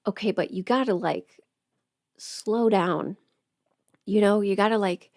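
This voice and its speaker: A woman's voice: mid-range voice, no echo, clean studio sound. A young woman chatting casually as a livestream host, informal and talkative, often putting rhetorical questions to her listeners. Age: young adult.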